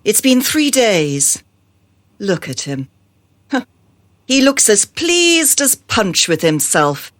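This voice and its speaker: A woman playing a haughty, theatrical madam in a radio drama, grandiose and mock-sweet in her deliberate delivery. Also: medium pitch, very animated, no room sound. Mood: smug.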